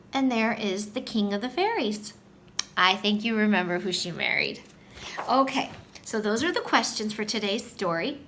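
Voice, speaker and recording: A woman's voice: fairly high pitch, a bit bright, slight background noise. A woman teacher leading story time for young children, talking to them directly in a sing-song way with simple questions.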